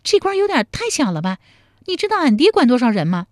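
A feisty young country woman from a storybook, acted out by a female narrator, speaks high and commanding. Her pitch swoops freely as she scolds and teases with a sharp tongue, recorded close and dry. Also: big pitch swings, fast talker, no room sound. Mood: spirited chatting. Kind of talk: dramatized storybook dialogue.

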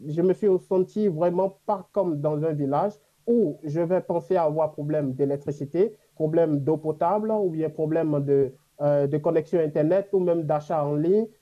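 Higher-voiced African man, muffled sound. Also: moderate pace, few pauses, mellow. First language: an African language. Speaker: interview guest living in China. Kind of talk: unscripted panel interview answer.

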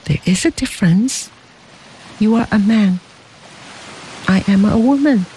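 A devoted young bride speaks softly and tenderly in a storybook reading, with a narrator putting her on. The voice sits well above the reader's own and moves slowly and gently. Faint noise hangs under it. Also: medium pitch, voice pushed up, natural voice, poor audio. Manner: soft, cooing, tender.

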